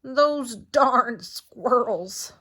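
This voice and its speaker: A woman voicing a grumpy, exasperated old man in a storybook, with drawn-out, emphatic yelling. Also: a little high, pitched above the performer's own voice, heavily acted.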